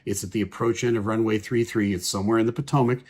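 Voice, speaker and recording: A man, a pilot, calmly explains air traffic radio calls in measured commentary with frequent pauses. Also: fairly low pitch, breathy edge.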